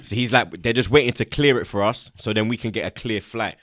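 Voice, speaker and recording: A man's voice: lowish voice, a little fast, a bit bright, no room sound, clean studio sound. A young man with a London accent, hosting a podcast and telling a casual, slangy story. He acts out the lines of the people in it. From England. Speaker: podcast host.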